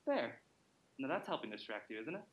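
A man's voice: mid-range voice, very animated, fairly quick, echoey room, highs cut off, close to an everyday voice. A man acting a glib, playful, reassuring character named Grant in a theatrical old-time radio-style drama, with wry banter.

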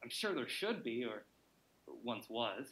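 A man's voice: mid-range voice, sing-song, unhurried, a bit bright, reverberant. A man acting a glib, playful, reassuring character named Grant in a theatrical old-time radio-style drama, with wry banter.

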